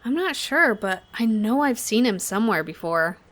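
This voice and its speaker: A woman's voice: a little high, lively intonation, normal speed, fairly bright tone. A woman acting as a troubled, guarded young woman who stays polite, in naturalistic audio drama dialogue. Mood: weary, guarded.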